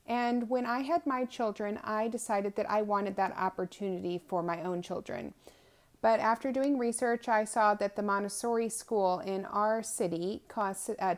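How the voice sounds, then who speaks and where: A woman in her thirties giving an informal, explanatory testimonial as a homeschooling mother, talking in a conversational way. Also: mid-range voice. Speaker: homeschooling mother presenting a language program.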